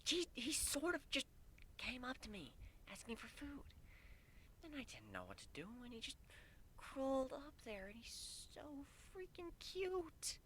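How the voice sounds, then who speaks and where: A man voicing a playful, clingy, easily flustered female pegasus pony in a story, softly and breathily. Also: extremely high pitch, huge pitch swings, unhurried, slight background noise, voice pushed up, full character voice.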